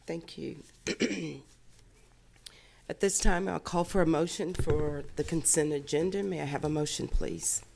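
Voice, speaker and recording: A woman's voice: sits low, fairly even intonation, a little slow, darkish tone. A middle-aged woman speaking formally as mayor, chairing a meeting from a desk microphone in a council chamber. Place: large indoor council chamber. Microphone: desk gooseneck microphone. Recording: clear background noise in meeting room.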